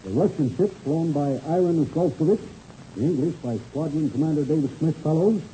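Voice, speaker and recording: An actor playing a formal, firm air-race official who speaks precisely and with authority in a 1930s radio serial. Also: male voice, fairly high pitch, a little fast, dark tone, lightly acted. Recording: muffled, hissy old radio recording.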